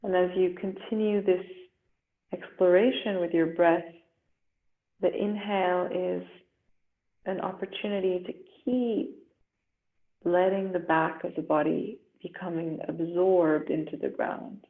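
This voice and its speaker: A woman with a slightly low voice guides a relaxation meditation very slowly and gently, leaving long pauses. Her recording is roomy and echoing, with a thin, muffled, phone-like sound. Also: steady tone. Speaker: yoga instructor.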